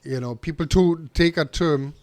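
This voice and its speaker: A man's voice: fairly high pitch, moderate pace, darkish tone, no echo. A man hosting a podcast and speaking as a dog trainer, talking earnestly and with strong opinions, often restarting his sentences. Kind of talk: casual interview chat.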